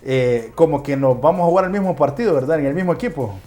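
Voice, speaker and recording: A man's voice: fairly high pitch, lively intonation, fast, mellow. A man with a Honduran accent hosting a casual interview on a remote video call. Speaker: talk show host.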